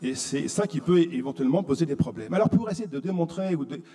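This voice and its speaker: A man's voice: fairly high pitch, animated, fast talker, dark tone. A man from France, giving a didactic conference lecture that he paces with rhetorical questions. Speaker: conference speaker. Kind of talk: explanatory public lecture. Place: reverberant conference hall. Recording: long hall reverb.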